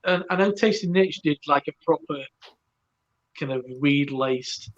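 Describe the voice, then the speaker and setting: A man co-hosting a casual live-stream chat, talking in quick offhand bits that trail off. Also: a little high, muffled recording.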